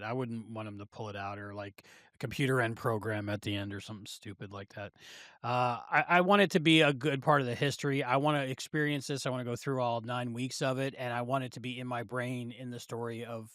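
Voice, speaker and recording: A man's voice: medium pitch, fairly quick, a bit bright, no echo, clean studio sound. A man co-hosting a podcast, chatting casually and thinking aloud in a tentative, speculative way. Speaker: podcast co-host. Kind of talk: casual review chat.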